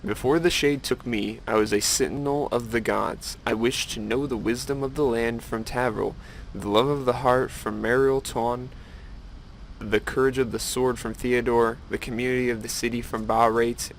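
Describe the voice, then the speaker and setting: Gravelly man reading as a solemn elf priestess. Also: medium pitch, fairly even intonation, normal speed, a little hiss or hum, natural voice, low-quality recording. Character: devout female elf priestess, solemn and sorrowful. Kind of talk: reading game quest dialogue aloud.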